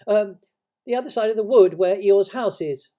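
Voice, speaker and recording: A man voicing a small, excitable piglet with a high, squeaky voice and a nervous, hurried manner. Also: natural voice.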